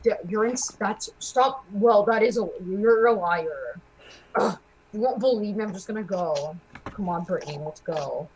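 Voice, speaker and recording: Girl-next-door game roleplay acting by a woman, mid pitch, halting, repeats lines, bit of background noise. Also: animated. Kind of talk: amateur game roleplay acting, several student characters.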